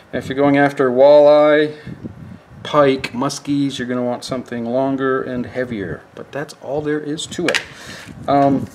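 Unhurried male instructor talking through a table-top demo, plain and practical. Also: medium pitch, darkish tone, slight background noise. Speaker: fishing tackle instructor. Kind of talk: hands-on how-to demonstration. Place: indoors at a work table.

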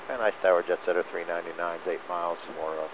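A man makes pilot radio calls in a deep voice. The sound is a tinny aircraft radio channel with faint noise behind it. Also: little pitch movement, very fast. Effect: aviation radio.

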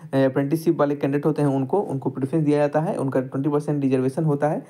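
A man's voice: on the high side, fairly even intonation, very fast, mellow. A man tutoring students over a screen-recorded lesson, explaining step by step and addressing them directly. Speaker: exam tutor.

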